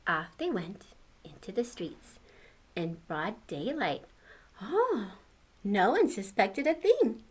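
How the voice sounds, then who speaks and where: A woman's voice: medium pitch, sing-song, takes it slowly, slightly rough. An older woman reading a storybook aloud to children, expressively, with exclamations and questions to the listener.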